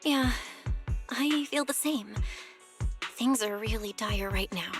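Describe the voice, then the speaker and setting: A woman's voice: on the high side, slow pace, music in the background. An actress playing an earnest, trusting young woman in a video game, sincere and determined. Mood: worried, resolute. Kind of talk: scripted video game voice acting.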